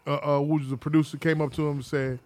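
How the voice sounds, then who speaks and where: A man's voice: a little high, little pitch movement, quick pace, a little mellow, no room sound. A man in his fifties from Chicago's South Side, co-hosting a podcast in casual chat, often stumbling and restarting his sentences. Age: 50s. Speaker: podcast co-host.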